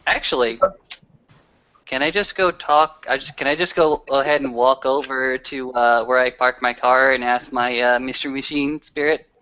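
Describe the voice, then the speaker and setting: Bright-voiced man playing a tabletop game. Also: mid-range voice, steady tone, moderate pace, clean tone. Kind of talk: casual in-game chat. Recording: dull, lo-fi group session recording.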